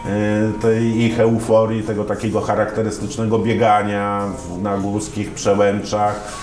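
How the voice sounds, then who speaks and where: Low-voiced older man telling a story. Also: little pitch movement, a little slow, a little mellow. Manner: storytelling, many fillers and restarts. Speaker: sports commentator, interview guest. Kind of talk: relaxed conversational interview. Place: dim room lined with wine shelves, indoors. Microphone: lapel mic. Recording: roomy sound with music behind.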